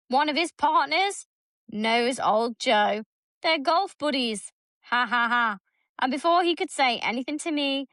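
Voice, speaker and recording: Woman, thirties, podcast co-host. Bright, higher voice, blunt and sweary, dry close mic. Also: no echo. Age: 30s. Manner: blunt, swears freely, animated storytelling. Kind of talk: casual chat reading a story aloud.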